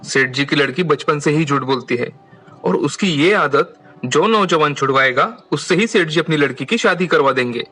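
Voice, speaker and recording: A man voicing a polite, respectful young man of humble means in an animated moral story. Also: on the high side, moderate pace. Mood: respectful, troubled.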